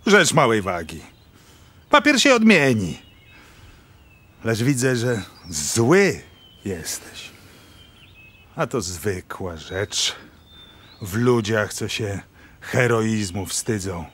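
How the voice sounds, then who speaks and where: Pompous, teasing aristocrat for radio verse drama; very slow, highly animated intonation. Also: male voice, slightly rough, heavily acted. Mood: patronizing. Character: jovial, teasing, tactless old-world count.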